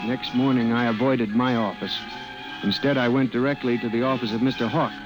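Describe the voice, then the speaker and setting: A man's voice: medium pitch, fairly even intonation, brisk, natural voice, rough recording. An actor playing a frantic, haunted man who narrates his own nightmare of murderous machines, with intense theatrical delivery in an old-time radio drama. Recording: old radio recording with dramatic music behind.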